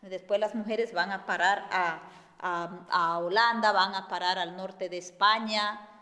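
A woman from Guatemala, giving a measured lecture with many pauses and fillers. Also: medium pitch, fairly even intonation, pushed and bright. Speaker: speaker. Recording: echoey hall.

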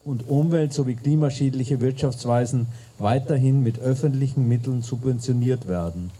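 A man's voice: mid-range voice, moderate pace, warm tone, breathy edge. A man reading a formal declaration aloud.

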